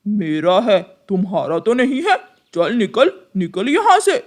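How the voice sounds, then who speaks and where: A woman's voice: mid-range voice, sing-song, measured pace. A woman voicing a lazy, rude, boastful male crow in a children's cartoon, with a brash, dismissive manner. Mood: rude, irritated. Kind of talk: Hindi children's cartoon voice acting.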